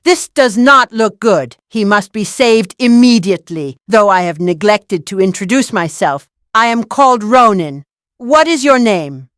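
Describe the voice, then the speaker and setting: A woman's voice: mid-range voice, animated, measured pace, a bit bright, no room sound, studio quality. A woman voicing a polite, earnest heroine in a game, speaking formally and courteously. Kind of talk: video game dialogue.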